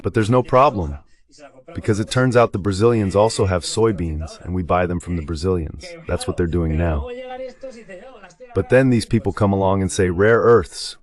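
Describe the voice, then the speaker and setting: A man's voice: low-pitched, moderate pace. A man giving conversational political commentary in an emphatic, repetitive, colloquial way, as a synthetic translation voice-over.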